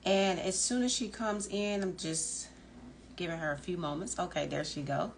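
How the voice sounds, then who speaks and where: A woman hosting a livestreamed podcast, greeting viewers warmly and asking long, rambling questions. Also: on the low side, moderate pace, vocal fry, background noise. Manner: upbeat, welcoming, rambling questions. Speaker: podcast host.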